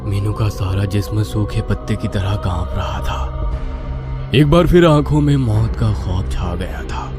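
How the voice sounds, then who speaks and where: A man's voice: fairly low pitch, unhurried, music in the background. A man narrating a scripted horror story in a suspenseful, theatrical way.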